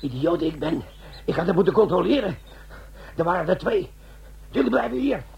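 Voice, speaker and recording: High, excitable bumbling sergeant. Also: male voice, normal speed, gravelly, reverberant, distant mic, highs cut off, heavily acted. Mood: alarmed. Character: bumbling army bomb-disposal sergeant turned gold thief, excitable. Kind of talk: comic crime radio play acting.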